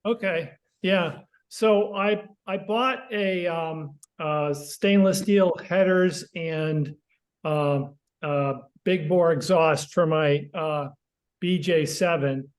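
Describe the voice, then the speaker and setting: A man asking a question on a casual technical group call, very halting, with frequent long hesitations. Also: fairly high pitch. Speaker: club member asking a question.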